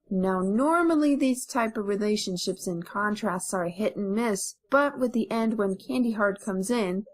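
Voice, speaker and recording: Woman, medium pitch, earnest, rambling informal review, long winding sentences. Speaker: amateur voice actor. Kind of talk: informal spoken story review.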